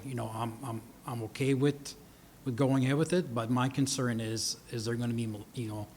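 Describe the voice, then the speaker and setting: A man with an average-pitched voice makes informal remarks as a councillor in a meeting. His delivery is conversational and halting, over slight background noise. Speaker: municipal councillor.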